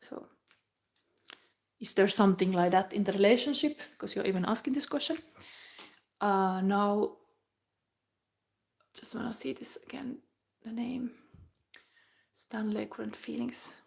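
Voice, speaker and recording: A woman's voice: medium pitch, vocal fry. Musing woman reading tarot. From Finland. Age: 40s. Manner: musing, halting, with soft whispered asides. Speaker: tarot reader.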